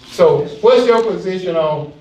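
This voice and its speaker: A man's voice: high-pitched, lively intonation, unhurried, a little mellow, a little hiss or hum. A man moderating a candidate forum in a loose, chatty, joking way. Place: community recreation center hall. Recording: echoey hall from far off, dull.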